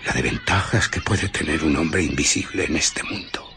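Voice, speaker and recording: An actor with a Castilian Spanish accent playing an obsessed, irritable scientist in a radio drama, brooding and intense. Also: male voice, very deep voice, normal speed, background noise. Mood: brooding, secretive. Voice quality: husky. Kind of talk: radio drama acting, first-person narration.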